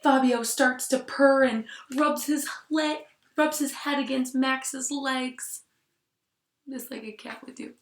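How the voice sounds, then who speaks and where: A woman with a fairly high voice reads a picture book to a class at a very unhurried pace. She is expressive and drops into hushed asides to the children. The room around her sounds echoey. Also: background noise. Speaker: teacher reading aloud to class. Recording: roomy, echoing sound.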